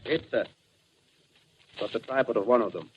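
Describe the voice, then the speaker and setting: An actor playing a dutiful army observer giving short, subdued field reports in 1930s radio drama style. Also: male voice, medium pitch, moderate pace, lightly acted. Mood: watchful, tense. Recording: muffled, hissy 1930s radio recording.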